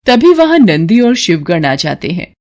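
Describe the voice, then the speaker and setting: A woman's voice: fairly low pitch, sing-song, a little slow, darkish tone. A woman narrating a scripted devotional story as a storyteller.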